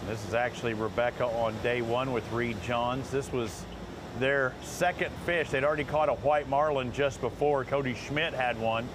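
A man's voice: medium pitch, fairly even intonation, moderate pace, noisy background. A middle-aged man hosting a fishing show from a TV studio, chatting casually and reading out catch alerts. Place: TV studio set.

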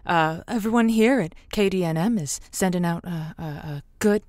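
Actress as low-voiced folksy radio host woman. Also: normal speed, noisy background, close to an everyday voice. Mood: sympathetic. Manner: folksy, reassuring. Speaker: radio call-in show host. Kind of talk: scripted radio advice show.

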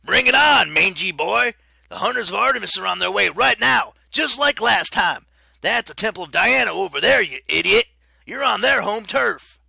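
Brief: a brash satyr coach in an audiobook, high and yelling, gravelly bright edge. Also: male voice, animated, moderate pace, no room sound, heavily acted. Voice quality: high, loud, blustering. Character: gruff excitable satyr coach, shouting.

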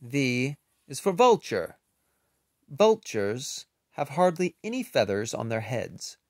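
Unhurried, lively man reading aloud. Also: medium pitch, no room sound, studio quality. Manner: expressive, unhurried, with playful asides. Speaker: picture book reader. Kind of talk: children's book read-aloud with casual asides.

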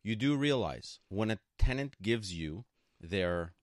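A man's voice: fairly low pitch, expressive, unhurried, dry recording, clean studio sound. A man co-hosting a conversational radio advice show, speaking in an emphatic, assertive way and repeating points for stress. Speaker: radio show co-host and broker. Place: radio studio.

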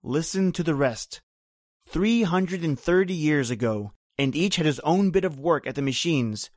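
An actor playing a bitter, grim island native who tells an old horror tale in brooding, rhythmic, folksy phrases, in old-time radio drama style. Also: male voice, fairly high pitch, expressive, normal speed, no echo, studio quality, lightly acted.

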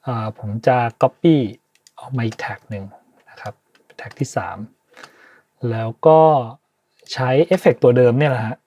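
Husky man hosting a tutorial. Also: mid-range voice, a little slow, pauses often, warm tone. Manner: polite, hesitant, thinking aloud. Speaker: tutorial host, sound designer. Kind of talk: informal software tutorial narration.

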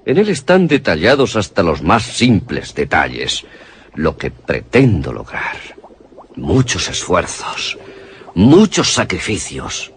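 A man's voice: sits low, huge pitch swings, slow, slight background noise, exaggerated voice. An actor playing an obsessed, menacing scientist and father in melodramatic, commanding suspense radio theatre. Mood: menacing, resolute.

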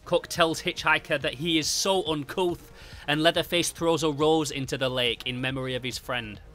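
Bright-voiced young man reviewing horror comics. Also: fairly high pitch, steady tone, moderate pace. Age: young adult. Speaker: YouTube comic book reviewer. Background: background music.

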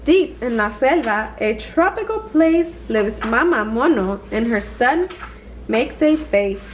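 High-voiced woman reading a children's storybook, unhurried. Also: expressive, slight background noise. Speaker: storybook reader. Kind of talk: expressive children's picture book read-aloud.

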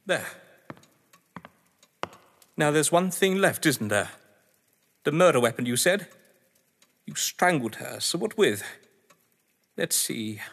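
An actor playing a frightened, indignant husband caught in a deadly game, speaking in an articulate, theatrical audio drama style. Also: male voice, fairly high pitch, big pitch swings, slow, gravelly, pauses often, fairly bright tone, exaggerated voice. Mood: tense, scornful. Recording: long reverb, background noise.

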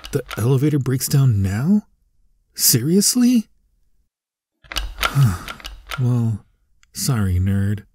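A man's voice: mid-range voice, slightly rough, dry recording, full character voice. A man playing a tsundere young bully, teasing but secretly caring, in an ASMR roleplay with a hesitant delivery. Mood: startled concern. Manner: hesitant, stammering, softly spoken asides.

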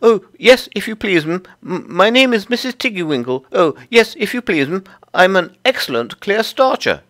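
A man's voice: sits high, big pitch swings, normal speed, a bit bright, pitched above the performer's own voice. A man voicing a fussy, polite, stout little hedgehog washerwoman in a storybook, with a flustered, deferential manner. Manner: bobbing, deferential, flustered, eager to explain. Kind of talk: storybook character voice.

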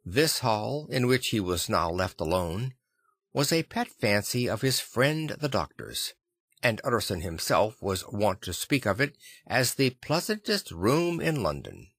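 A man reading classic fiction as an expressive audiobook narrator. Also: lowish voice, measured pace, husky, dry recording, clean studio sound. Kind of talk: expressive scripted literary narration.

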